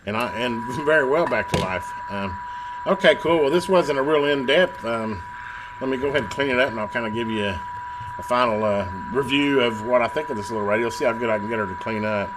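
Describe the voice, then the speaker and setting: A man talking casually through a hands-on repair as a technician, folksy and thinking aloud at his own unhurried rate. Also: medium pitch. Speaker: radio repair technician and video host. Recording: loud electrical hum and background noise.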